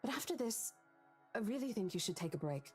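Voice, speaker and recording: An actress playing a caring young real estate agent who talks in a reassuring, coaxing way in a horror visual novel. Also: female voice, fairly high pitch, big pitch swings, brisk, a little mellow, husky, faint music behind.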